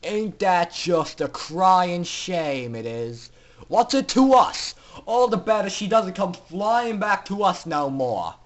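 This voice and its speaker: A man voicing a gruff, callous big pony boss in a storybook reading, with a sneering, slangy drawl. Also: high-pitched, sing-song, slow pace, pitched above the performer's own voice, exaggerated voice. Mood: mocking.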